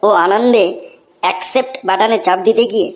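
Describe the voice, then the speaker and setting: A woman's voice: mid-range voice, a little fast, lightly acted. A woman voicing cheeky, smart-mouthed young pupils answering a teacher with mock respect in a comic cartoon. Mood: mock-woeful. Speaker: cartoon voice actor. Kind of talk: comic Bengali cartoon dialogue.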